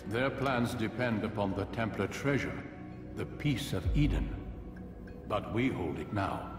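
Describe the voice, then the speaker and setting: A low-pitched, solemn master of a secret order for a video game. He speaks in measured, oratorical phrases with wise authority. The voice sits back in an echoing hall with music behind it. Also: lively intonation, recorded from a distance. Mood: calm, assured. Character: grave, wise male master of an assassin order. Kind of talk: dramatic video game dialogue.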